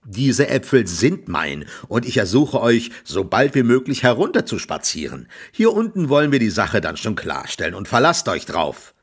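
A man voicing a gruff, stout middle-aged farmer who scolds and threatens in a rough voice in an audiobook. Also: medium pitch, very animated, moderate pace, hardly any pauses. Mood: gruff, threatening. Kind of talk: audiobook character voice.

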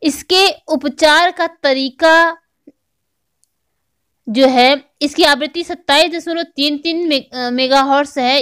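A woman's voice: high voice, fairly bright tone, dry recording, clean studio sound. A woman with an Indian accent giving an explanatory lecture as a teacher, repeating and rephrasing key points. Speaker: online physical education teacher. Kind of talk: explanatory exam-prep lecture.

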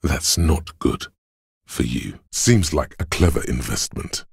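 Very deep, gravelly voice of logic. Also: male voice, lively intonation, dry recording, heavily acted. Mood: dry, analytical. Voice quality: gravelly, husky. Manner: measured, deliberate, dry. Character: calm analytical male inner voice of logic, dry and knowing. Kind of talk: video game voice-over narration.

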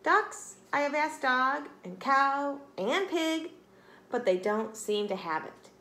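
A woman's voice: high-pitched, takes it slowly, pushed and bright, roomy sound, pitched above the performer's own voice, exaggerated voice. A woman voicing a determined, pleading young female kitten in a children's storybook. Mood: weary, hopeful.

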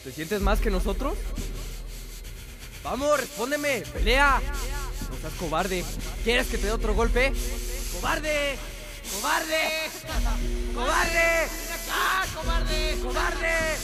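A man's voice: extremely high pitch, slow, pauses often, heavily acted. An actor playing an aggressive young male bully in a radio drama. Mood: jeering. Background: dramatic music.

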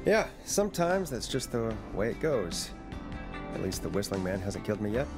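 An actor playing a jaded late-night radio host in a video game, with a wry, exasperated manner. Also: male voice, fairly low pitch, big pitch swings, moderate pace. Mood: dry, resigned. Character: jaded fallen big-city radio DJ, dry and weary. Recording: music playing behind the voice.

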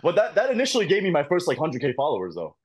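A young man, a podcast guest and content creator, telling animated stories in casual chat over a video call. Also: a little high, very fast, a loud crowd. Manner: animated storytelling, laughs often.

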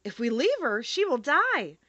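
A woman's voice: high voice, sing-song, a little slow, sharp tone, dry recording, highs cut off, pitched above the performer's own voice, exaggerated voice. A woman voicing a big, tender-hearted male lion in a children's storybook, speaking earnestly. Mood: worried.

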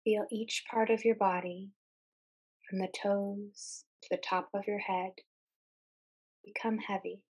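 Slow, breathy woman guiding meditation. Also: medium pitch, rough recording. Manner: calm, soothing, with pauses between short phrases. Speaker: meditation guide. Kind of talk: guided meditation, calm scripted narration.

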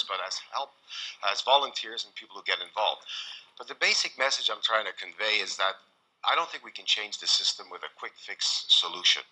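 A man's voice: sits low, pushed and bright, poor audio. Earnest Canadian man speaking from the audience. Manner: earnest, halting with fillers. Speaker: audience member asking a question. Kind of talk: public remarks at a forum. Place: large lecture hall with audience.

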